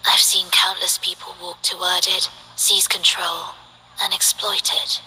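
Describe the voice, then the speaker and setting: A woman playing a soft-spoken, detached and tragic guardian of the underworld in a video game, with a breathy, measured delivery. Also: fairly low pitch, little pitch movement, exaggerated voice, poor audio. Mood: cool, persuasive. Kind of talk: scripted video game character acting.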